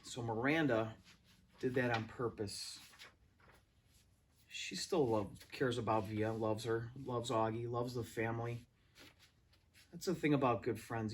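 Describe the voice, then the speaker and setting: A man reading a story aloud as an expressive narrator. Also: medium pitch, slight background noise. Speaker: read-aloud reader.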